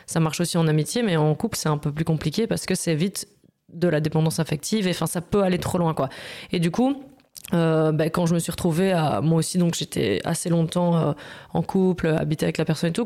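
A woman's voice: deep voice, a little fast, warm tone. A woman in her thirties talking as a podcast co-host in a casual, slangy and candid personal conversation. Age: 30s. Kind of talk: casual, confessional podcast chat.

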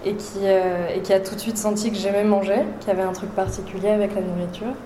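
A woman chef from Paris, talking about her career in a relaxed, halting way with many fillers. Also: mid-range voice, reverberant, a little hiss or hum. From Paris, France. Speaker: chef and restaurant owner, guest. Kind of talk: casual interview.

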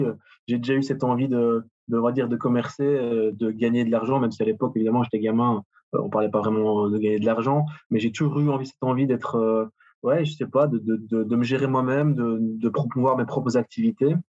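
A man's voice: mid-range voice, a little mellow, lo-fi sound. A man in his late thirties with a Belgian French accent, a gym owner answering interview questions in a hesitant, filler-heavy way. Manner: hesitant, many fillers and restarts. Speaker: guest, personal trainer and gym owner.

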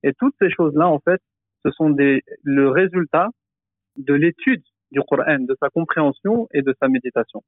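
A man's voice: a little high, normal speed, no echo, phone-quality sound. Male religious teacher, hesitant explainer, dry close sound. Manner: explanatory, hesitant with frequent fillers and restarts. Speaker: Quran teacher and imam. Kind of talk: podcast discussion.

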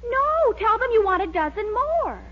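A woman's voice: very high voice, very animated, moderate pace. An actress playing an eager, scheming young girlfriend in a 1940s radio comedy, gushing and persuasive, with an American accent. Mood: insistent, scheming. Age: young adult. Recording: muffled old radio recording, faint hiss.

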